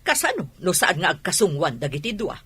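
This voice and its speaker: A woman playing a haughty, fussy, expressive mother in an Ilocano audio drama. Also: fairly low pitch, fairly quick, breathy edge, exaggerated voice. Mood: concerned. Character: haughty, expressive mother, fussy about her son's match.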